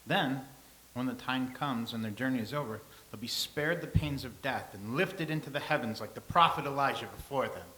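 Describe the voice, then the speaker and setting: A man in a staged reading playing a humble, earnest follower of Christ from Nazareth, modest and measured. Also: medium pitch, sharp tone, close to an everyday voice. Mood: devout, hopeful. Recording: hissy, clearly noisy recording.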